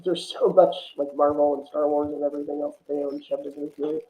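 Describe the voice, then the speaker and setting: A man's voice: fairly high pitch, little pitch movement, fast talker. A man in a casual film chat, opinionated and rambling as he talks. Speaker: film discussion podcast guest. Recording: roomy echo, thin phone-like line.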